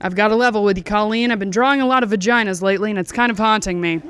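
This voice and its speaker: A woman's voice: medium pitch, rapid-fire, noisy background, natural voice. A drunk woman voicing an earnest, troubled male artist in a comic story read aloud.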